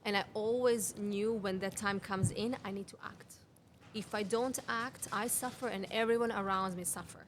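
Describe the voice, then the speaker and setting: A woman in her thirties speaks English with a Serbian accent, her second language after Serbian. She talks at a middle pitch in an earnest, explaining way, stacking up rhetorical questions and making small grammar slips. Faint background noise sits under her voice in a cafe. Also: steady tone, normal speed. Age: 30s. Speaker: guest, women's health mentor. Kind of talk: conversational podcast interview.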